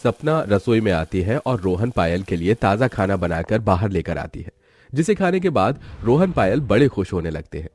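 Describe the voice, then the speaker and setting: A man's voice: lowish voice, big pitch swings, normal speed, a little mellow, breathy edge. A man narrating a scripted moral story.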